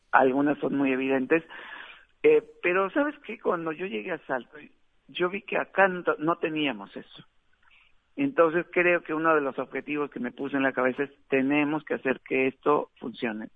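A man from Mexico with a Mexican accent, a film director giving a relaxed, chatty radio interview with frequent fillers. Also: on the high side, dry recording. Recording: tinny dry phone line.

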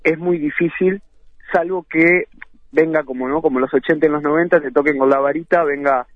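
A man's voice: fairly high pitch, steady tone, a little fast, no echo. Uruguayan man talking over a phone line. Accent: Uruguayan Spanish. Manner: talkative and laughing, restarts often. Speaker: musician and producer guest. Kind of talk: casual radio interview.

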